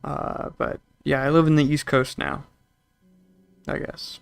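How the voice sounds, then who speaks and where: Gravelly young man chatting with stream. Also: fairly high pitch, level tone, measured pace, faint music behind. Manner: rambling, reads chat messages aloud. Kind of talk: casual chat with live chat while gaming.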